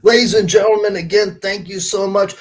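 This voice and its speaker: Higher-pitched man hosting a casual podcast. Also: steady tone, brisk. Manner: swears freely, addresses listeners as "man" and friends. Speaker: podcast host. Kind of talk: casual heartfelt monologue and chat.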